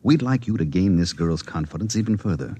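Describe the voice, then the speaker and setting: A government security chief with a low, dark, husky voice in 1950s radio drama style. He is formal and deliberate, leaning on his listener with official persuasion. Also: expressive, no echo. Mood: official, persuasive.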